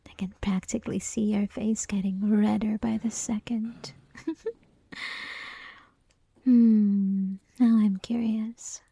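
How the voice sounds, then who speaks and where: A woman's voice: mid-range voice, level tone, slow pace, long pauses, dark tone, no room sound, heavily acted. A woman playing a flirty, possessive college classmate who teases the listener in an intimate, mostly whispered ASMR roleplay. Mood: playful teasing. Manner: teasing, breathy, mostly whispered, giggly.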